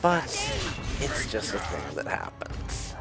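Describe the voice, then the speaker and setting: A man's voice: on the low side, sing-song, slow pace, loud music behind the voice. A man giving casual, conversational commentary on a game, explaining things as he plays. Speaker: gaming YouTuber.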